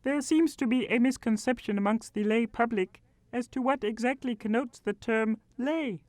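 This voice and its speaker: Woman as a high-pitched, prim pedantic lecturer. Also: moderate pace, dry recording, close to an everyday voice. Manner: scholarly, formal, deadpan. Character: prim, pedantic female lecturer on mock history. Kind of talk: mock lecture.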